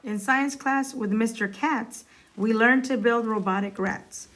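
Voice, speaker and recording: A woman's voice: a little high, a little slow, fairly bright tone, slight background noise, low-quality recording. A woman reading a children's story aloud. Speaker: school staff member reading aloud.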